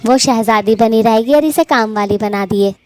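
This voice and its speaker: A woman's voice: a little high, moderate pace, a little mellow, natural voice. A woman voicing a scheming, bossy older mother-in-law bird in a cartoon who threatens her daughter-in-law.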